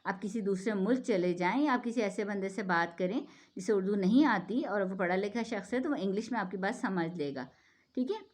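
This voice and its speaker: A woman's voice: mid-range voice, fairly quick, darkish tone. Earnest Pakistani woman explaining with rhetorical questions. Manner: persuasive, full of rhetorical questions and "theek hai". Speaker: speaker giving a talk on the national language.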